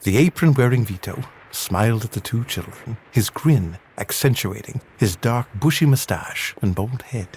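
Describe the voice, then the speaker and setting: A man's voice: mid-range voice, lively intonation, moderate pace, hardly any pauses, darkish tone, husky. A man delivering scripted third-person narration for an adventure audio drama.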